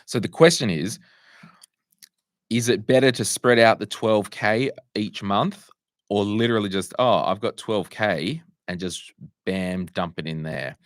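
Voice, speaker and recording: A middle-aged Australian man with a croaky voice, hosting a casual Q&A and answering viewers' money questions with asides and restarts. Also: fairly low pitch, unhurried, no room sound, studio quality. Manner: conversational, restarts and asides while fixing tech. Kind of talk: casual livestream Q&A.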